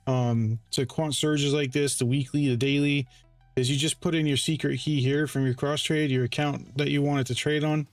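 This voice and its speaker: A man hosting a casual screen-share tutorial, explaining settings in a halting way with frequent self-corrections. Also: medium pitch, steady tone, no echo. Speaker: trading bot developer and livestream host. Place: live voice call on a screen-share stream. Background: music.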